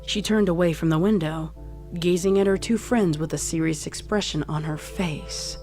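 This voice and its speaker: A woman's voice: lowish voice, moderate pace, mellow. A woman narrating a scripted story as a fan fiction reader. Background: music.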